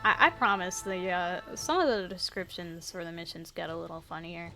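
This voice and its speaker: A woman with a gravelly voice, chatting casually and a little self-deprecatingly while she plays a video game. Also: lowish voice, moderate pace, bright, forceful tone, poor audio. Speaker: gaming streamer. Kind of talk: casual commentary while playing. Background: game music.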